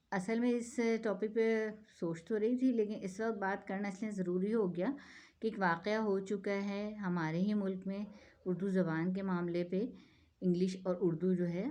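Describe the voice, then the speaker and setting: A woman from Pakistan speaks in an earnest, persuasive monologue. She keeps asking rhetorical questions and answering them herself, and often checks in with her listeners. Her pitch sits mid-range for a woman. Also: a little mellow. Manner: persuasive, full of rhetorical questions and "theek hai". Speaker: speaker giving a talk on the national language.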